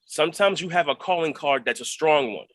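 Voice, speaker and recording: Bright-voiced man, dry sound. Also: on the high side, animated, a little fast, no room sound. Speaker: stage actor, interview guest. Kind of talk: reflective interview talk.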